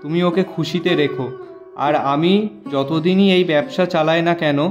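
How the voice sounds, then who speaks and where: A man voicing an honest, gentle shopkeeper who pleads with his friend in a moral-story cartoon. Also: a little high, steady tone, moderate pace, dull audio, over music, lightly acted. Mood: sorrowful. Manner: earnest, pleading, lamenting.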